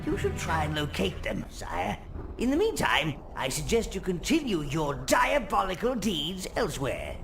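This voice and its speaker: A sly, fawning minion advisor. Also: male voice, on the high side, huge pitch swings, moderate pace, vocal fry, a bit bright, exaggerated voice. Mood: advising. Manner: sardonic, obsequious asides. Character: fawning old male minion advisor, sardonic. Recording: music behind.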